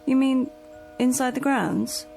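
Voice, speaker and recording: A fussy woman carer, in a fairly high voice with a strong creak. She scolds with exasperated concern in vintage radio drama style, over music. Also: slow pace, heavily acted. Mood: wary. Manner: fussing, expressive, scolding yet concerned.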